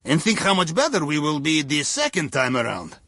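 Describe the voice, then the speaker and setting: A man's voice: a little high, sing-song, normal speed, pushed and bright, heavily acted. A man playing a wry, upbeat Russian submarine captain guiding a heist crew in a video game, with a Russian accent and clipped English. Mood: wry, briefing. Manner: wry, playful, theatrical, with clipped non-native English. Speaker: mission guide over comms.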